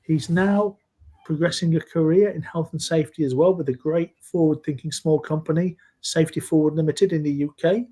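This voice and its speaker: A man in his sixties from Scotland, speaking in a measured, earnest way as a guest in a live video-call interview. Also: a little high, fairly even intonation, warm tone, low-quality recording. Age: 60s. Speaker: interview guest, safety leader.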